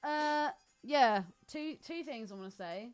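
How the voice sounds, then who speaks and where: Woman podcast cohost, slightly high voice, chatty and teasing, got opinions on movies. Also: lively intonation, unhurried, smooth, faint music behind. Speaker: podcast co-host. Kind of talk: casual film banter.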